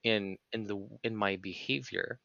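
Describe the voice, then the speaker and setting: Man, podcast guest, forceful bright tone, earnest analytical explainer, reflective conversation, dry close-miked sound, slightly dull audio. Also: on the low side, steady tone, moderate pace, gravelly, dry recording.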